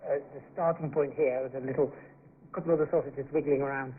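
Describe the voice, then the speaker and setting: A man's voice: mid-range voice, steady tone, fast talker. A man working as a radio reporter, presenting a feature report. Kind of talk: scripted radio report narration. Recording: tinny, hissy shortwave radio broadcast.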